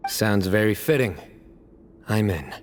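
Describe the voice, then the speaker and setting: An actor playing a stern, resolute young general in a video game, serious and measured. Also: male voice, lowish voice, expressive, a little hiss or hum. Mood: firm.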